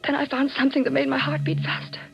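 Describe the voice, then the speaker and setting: A woman's voice: high voice, little pitch movement, fast, creaky. An actress playing an anxious but determined wife who suspects her husband, earnest and dramatic in a 1940s radio mystery. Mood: fearful, suspenseful. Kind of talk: 1940s radio mystery acting, first-person dramatic narration. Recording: muffled old radio recording with music.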